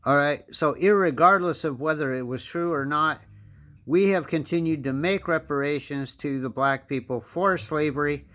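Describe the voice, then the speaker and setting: A man in his fifties with an American accent delivers a pointed political monologue. His voice sits a little higher than most men's. He lectures with emphasis and hammers his points home by repeating them. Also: a bit bright. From United States. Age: 50s. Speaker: political commentator. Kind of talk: opinion monologue.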